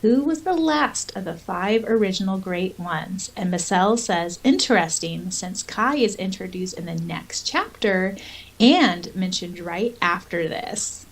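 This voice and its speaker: A woman speaks at an average pitch as a podcast co-host. She moves between casual fan chat and reading story passages aloud. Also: expressive, a little slow, vocal fry, husky, rough recording. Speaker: podcast co-host and audiobook narrator.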